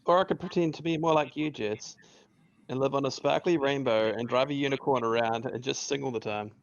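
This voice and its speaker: A man's voice: medium pitch, steady tone, brisk, creaky, lightly acted. A man reading the part of a grumpy, guarded young male bartender in a sitcom table read, dry and sarcastic. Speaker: podcast host reading a part. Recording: dull lo-fi recording.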